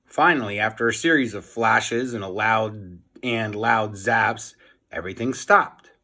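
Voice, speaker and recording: A man's voice: on the low side, a little slow, sharp tone. A man narrating a children's storybook aloud, as a teacher reading for young listeners.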